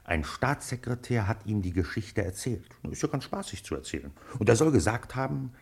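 Husky, low-voiced police chief. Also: male voice, very animated, brisk, a little mellow, heavily acted. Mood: confiding. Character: genial, pragmatic male police chief. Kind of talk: German radio play acting.

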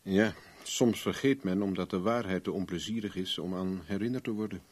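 An actor playing a shrewd private investigator in a radio play, polite but dryly sceptical and probing. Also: male voice, sits low, fairly quick. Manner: dry, questioning, polite.

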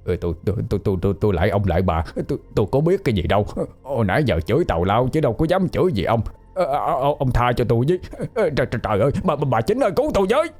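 A man's voice: mid-range voice, very animated, rapid-fire, a little mellow, soft background music. A man voicing a cowardly, terrified villager in a horror story, stammering and wailing pleas in a Southern Vietnamese accent. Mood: pleading, panicked. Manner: stammering, repeating words, wailing. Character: terrified drunken villager, older married man, cowardly and pleading.

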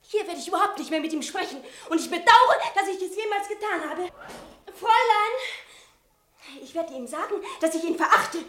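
An actress playing a hot-tempered, headstrong young sister in a German radio drama, impetuous and full of scorn. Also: female voice, extremely high pitch, a bit bright. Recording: roomy echo, faint noise.

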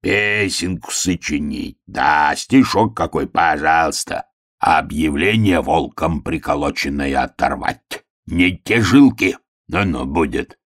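A man voicing a sly, menacing storybook wolf who speaks with mock politeness in an unctuous, husky, drawn-out way. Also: very deep voice, fairly bright tone, heavily acted. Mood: taunting. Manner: unctuous, wheedling, drawn-out words. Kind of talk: storybook character reading.

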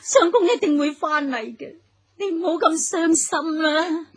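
An actress playing a young, dutiful, self-sacrificing daughter-in-law in a Cantonese radio drama, soothing and pleading deferentially. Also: female voice, very high voice, a little slow.

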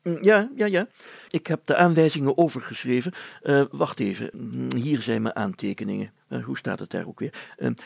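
A man voicing a pompous, fussy older village mayor in a storybook, formal, deliberate and full of hesitant hemming. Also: mid-range voice, creaky, slightly rough, no room sound. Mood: searching, explaining.

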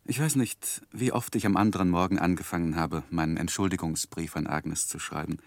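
An actor playing an earnest man looking back on his youth in a measured, reflective 1960s radio drama manner. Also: deep voice, fairly even intonation, vocal fry, no echo. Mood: rueful, reflective. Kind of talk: 1960s German radio drama narration and acting.

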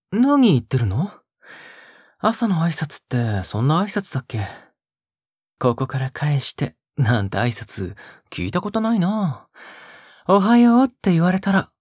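A male actor playing a possessive young captor in an audio drama, coaxing and teasing in a soft, breathy voice as if talking to a pet. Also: on the high side, dry recording, clean studio sound, heavily acted. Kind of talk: intimate Japanese audio drama acting.

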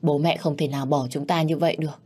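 A woman's voice: sits low, fairly even intonation, quick pace, warm tone, slightly rough. Woman doing a weary grieving young mother. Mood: sorrowful.

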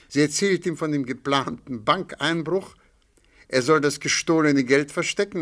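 Shrewd, unruffled gentleman sleuth. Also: a little high. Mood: explaining coolly. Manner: measured, ironic, polite. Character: shrewd, unruffled amateur sleuth, quietly ironic man. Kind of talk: radio play dialogue.

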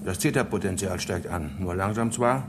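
An actor playing a grumpy, sarcastic space engineer in a radio drama, blunt and sardonic. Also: male voice, low-pitched, moderate pace, close to an everyday voice. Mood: matter-of-fact. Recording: clearly noisy background ambience.

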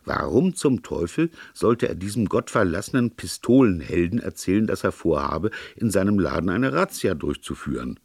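A man's voice: low-pitched, big pitch swings, normal speed, gravelly, hardly any pauses, a little mellow, husky, dry recording, clean studio sound, full character voice. A man voicing a blunt, puzzled police inspector who questions things emphatically in an audiobook story. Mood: puzzled, exasperated.

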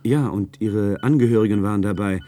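A man acts out an earnest young communicator in a science-fiction radio play. His voice is fairly low and very mellow, with a soft edge. He speaks as someone conscientious and troubled, at times hesitant. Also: brisk, lightly acted. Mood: troubled, confessional. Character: earnest young male communicator, recently graduated, troubled by guilt.

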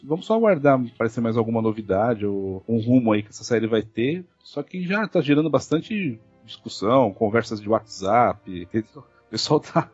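A man's voice: medium pitch, expressive, moderate pace, a little mellow, husky, no room sound. Muffled Brazilian man chatting casually. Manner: colloquial, frank. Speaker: interview guest, fan website writer. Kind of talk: casual podcast conversation. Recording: muffled audio with music.

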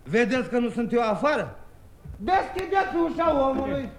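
An actor playing a merry, ageless Romanian folk trickster in a 1950s radio comedy, jovial and teasing in a declamatory way. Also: male voice, very high voice, very animated, slight background noise, exaggerated voice. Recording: 1950s radio archive, music behind.

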